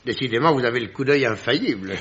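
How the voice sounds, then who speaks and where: An actor playing an earnest, curious doctor, a detective's sidekick, in a French radio drama. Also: male voice, mid-range voice, expressive, quick pace, a bit bright, husky. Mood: curious, puzzled. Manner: puzzled, earnest questioning. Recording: dull, muffled vintage radio recording.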